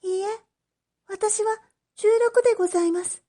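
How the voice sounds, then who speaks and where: A lovestruck merchant's daughter in her mid-teens, played by a woman reading a storybook. Her voice sits very high and soft-edged. She speaks politely and earnestly, with impulsive, open emotion. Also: normal speed. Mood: resolute. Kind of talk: storybook read-aloud acting.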